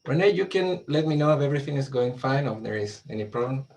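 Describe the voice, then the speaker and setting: A man's voice: mid-range voice, animated, fast, darkish tone, recorded from a distance. A man from Chile with a Chilean Spanish accent, whose first language is Spanish, giving a casual, friendly talk as a guest on a video call, full of fillers and restarts. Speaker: guest astronomer.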